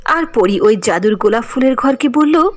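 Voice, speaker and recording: উঁচু গলার নারী গল্পকথক. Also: female voice, on the high side, lively intonation, normal speed. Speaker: narrator. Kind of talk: scripted cartoon storybook narration.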